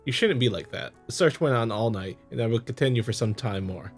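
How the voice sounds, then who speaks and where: Man reading a formal, reassuring investigator, medium pitch. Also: lively intonation, slightly rough. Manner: formal, measured. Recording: music playing behind.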